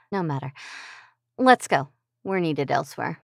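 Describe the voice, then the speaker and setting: A woman's voice: low voice, lively intonation, takes it slowly, gravelly, full character voice. A woman voicing a scheming female villain who commands the others, mocking and theatrical. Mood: cold. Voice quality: mocking, theatrical, swings from whisper to sharp. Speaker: voice actor. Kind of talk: fan audio drama acting.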